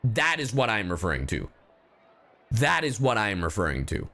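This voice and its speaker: Very animated male game streamer. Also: fairly low pitch, normal speed. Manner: animated, exasperated rambling, swears freely. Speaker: livestreamer. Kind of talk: casual game stream commentary.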